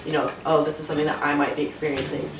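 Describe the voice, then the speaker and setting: Teen woman, very low voice, hesitant and soft, roomy distant mic with music. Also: level tone, very fast, noisy background. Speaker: student speaker. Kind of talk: earnest awareness talk.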